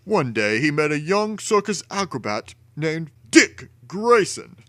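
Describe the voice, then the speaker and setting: A man's voice: a little high, big pitch swings, unhurried, fairly bright tone, no room sound. A man giving a theatrical, over-emphatic dramatic reading of a children's storybook. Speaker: storybook reader.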